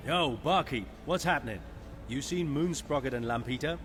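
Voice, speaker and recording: A man's voice: mid-range voice, normal speed, fairly bright tone, a little hiss or hum, lightly acted. A man playing a sardonic, easygoing mercenary pilot in a space video game, with a wry, casual manner. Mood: casual, probing.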